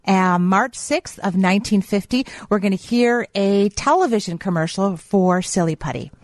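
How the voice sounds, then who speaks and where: A woman's voice: mid-range voice, normal speed, slight background noise. An American woman co-hosting a radio show in a studio, chatting casually and sharing trivia.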